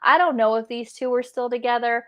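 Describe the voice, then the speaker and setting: A woman's voice: a little high, fairly even intonation, brisk. A woman co-hosting a casual book chat, agreeing along in short, conversational bursts. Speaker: co-host of a book readalong live discussion.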